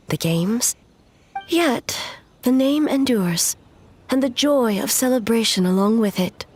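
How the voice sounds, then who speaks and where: A woman voicing an ageless, reserved female ruler in a video game, speaking in a formal, measured, stately way. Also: a little high, darkish tone.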